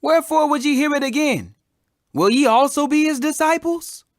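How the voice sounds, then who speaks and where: A man's voice: very high-pitched, moderate pace, dry recording, clean studio sound, voice pushed up. A man voicing a plainspoken, defiant beggar healed of blindness, emphatic and declamatory, in a scripture reading.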